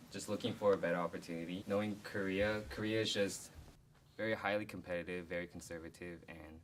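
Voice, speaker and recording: Low-voiced young man, faint background hum. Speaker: business student interviewee. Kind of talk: casual interview answers.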